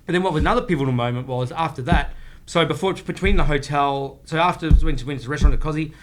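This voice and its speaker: An Australian man in his forties, a chef telling his career story as a podcast guest, rambling with frequent restarts. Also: on the high side. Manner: rambling, restarts often, speaks in quick bursts. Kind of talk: casual storytelling interview.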